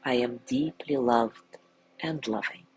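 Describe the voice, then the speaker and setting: A man guiding a sleep meditation in a gentle, soothing way, softly spoken and unhurried, with long pauses between phrases. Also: medium pitch, slight background noise, rough recording. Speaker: meditation guide.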